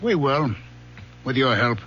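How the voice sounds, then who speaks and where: An actor playing a world-weary middle-aged police lieutenant in a 1970s radio drama, gruff but fatherly. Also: mid-range voice, expressive, measured pace, a bit bright. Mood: firm, knowing. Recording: dull, hissy old radio broadcast.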